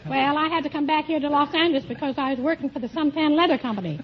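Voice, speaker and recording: A woman's voice: high-pitched, quick pace. A middle-aged woman, a contestant on an old radio quiz show, talking in a guileless, chatty way. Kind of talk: chatty anecdote telling and short answers. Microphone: stage microphone. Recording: old radio recording, muffled, noisy, loud audience.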